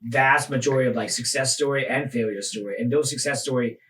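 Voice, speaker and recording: Relaxed male podcast host. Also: medium pitch, fast. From Thailand. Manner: relaxed conversational, frequent affirming murmurs, jokes and laughs. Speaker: podcast host, physical therapist. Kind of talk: podcast interview.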